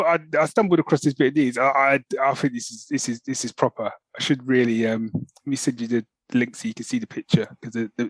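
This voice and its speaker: A man co-hosts a casual news podcast in a medium-pitched voice. He rambles in loose, everyday language. The sound is dry, with no room to it. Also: no room sound, poor audio. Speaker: podcast co-host.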